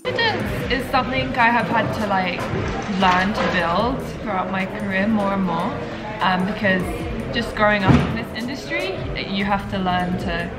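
A woman's voice: medium pitch, animated, normal speed, reverberant, over loud music. A young woman answering an interview question earnestly. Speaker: interviewee, model.

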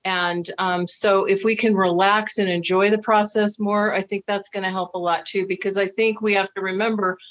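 A woman's voice: mid-range voice, little pitch movement, normal speed, few pauses. Chatty, reassuring middle-aged woman host. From United States. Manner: chatty, reassuring, many fillers. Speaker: dating coach and host. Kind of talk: video call interview. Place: indoor room with flowers.

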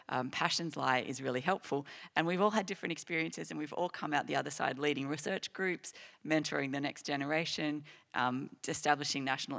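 A woman's voice: very deep voice, vocal fry, fairly bright tone. An Australian woman speaking as a panelist on a stage, earnest and reflective, restarting her sentences as she thinks. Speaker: panelist, clinician-scientist. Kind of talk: panel discussion answers. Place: lecture hall stage.

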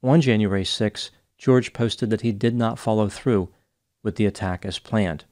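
Thirties male educator, lowish pitch, even and clinical; reads a case analysis close on a boom mic. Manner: measured, even, clinical delivery. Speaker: psychology educator. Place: small home studio, indoors. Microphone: close studio mic on boom arm.

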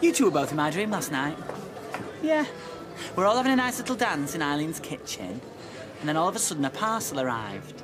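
A chatty man rambling on in a soap drama scene. His pitch rises and falls a lot as he talks. Music runs clearly underneath. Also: very animated, brisk.

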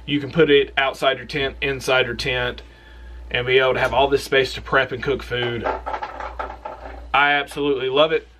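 A young man hosting an outdoors channel, recommending camping gear in a relaxed, rambling, enthusiastic way from a small room. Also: medium pitch, normal speed, slight background noise. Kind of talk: casual product recommendation vlog.

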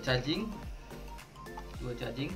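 A man with a Malaysian accent casually unboxing and demonstrating something, halting and repeating words as he explains. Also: mid-range voice, expressive. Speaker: vlogger. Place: indoors at a table at home. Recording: music playing behind the voice.

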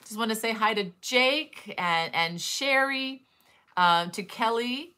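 A woman's voice: fairly high pitch, slow, sharp tone. A middle-aged woman hosting a crochet livestream from her living room, chatting casually and greeting viewers by name, with many fillers. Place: living room with bookshelves, indoors.

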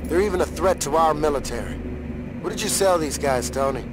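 Stern, probing soldier buddy for a game, male actor; raised pitch, urgent, music underneath. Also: lively intonation, fairly quick, close to an everyday voice, low-quality recording. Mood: suspicious. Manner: urgent, questioning. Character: stern, suspicious military man, hero's friend. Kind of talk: video game voice acting.

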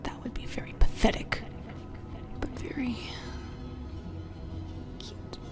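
Casting: actress as seductive female nightmare demon for ASMR audio roleplay, slow breathy whisper, possessive and menacing yet affectionate, music underneath. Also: lightly acted. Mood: coy, teasing. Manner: slow, intimate, teasing.

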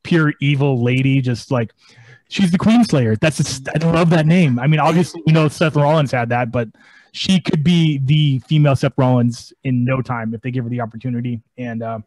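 A man co-hosting a wrestling podcast over a video call, talking excitably and swearing freely. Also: mid-range voice, normal speed, no echo, low-quality recording. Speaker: podcast co-host. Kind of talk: casual wrestling chat.

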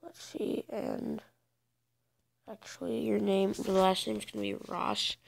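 A woman's voice: medium pitch, flat delivery, takes it slowly, vocal fry. A woman with a stuffed-up, congested voice hosting a gaming channel, giving rambling, hesitant game commentary full of fillers. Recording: loud background noise.

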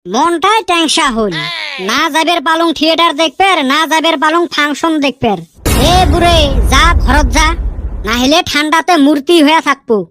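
A woman's voice: sits high, moderate pace, a bit bright, slight background noise. A woman voicing a lazy, broke young man in a cartoon who complains constantly. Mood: complaining. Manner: whiny, complaining. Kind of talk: cartoon voice acting.

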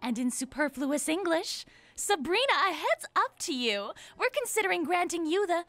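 A woman's voice: extremely high pitch, sing-song, moderate pace, a bit bright, no echo, heavily acted. A woman playing a snooty popular cheerleader in a cartoon, pompous and condescending. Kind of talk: animated series dialogue.

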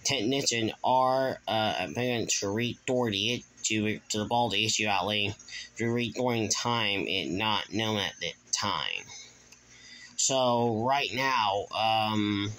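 A man giving a casual, halting, repetitive show-and-tell narration into a handheld phone in a room at home. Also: fairly low pitch, pushed and bright, rough recording. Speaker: video host.

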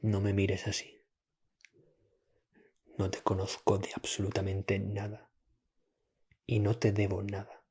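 A menacing male captor, played by a man with an accent from Spain, addresses his captive in an ASMR roleplay. The voice is low, hushed and very breathy, close to a husky whisper. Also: completely flat delivery, slow pace, long pauses, full character voice. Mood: quiet menace.

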